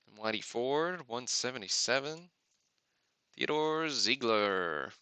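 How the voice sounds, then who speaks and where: A man's voice: medium pitch, expressive, slow pace, pushed and bright, clean tone, no room sound. A man hosting a live card-opening stream with casual, off-the-cuff commentary and muttered asides to himself. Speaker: host of a sports card break livestream.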